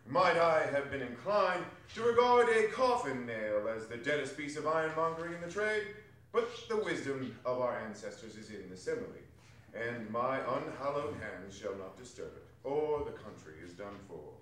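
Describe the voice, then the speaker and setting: A man's voice: mid-range voice, expressive, moderate pace, a bit bright, a little hiss or hum. A man giving an emphatic, theatrical reading of a classic story as a stage performer. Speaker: stage reader in a theatre company. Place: arts center performance space, distant camera. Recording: very echoey hall, distant microphone.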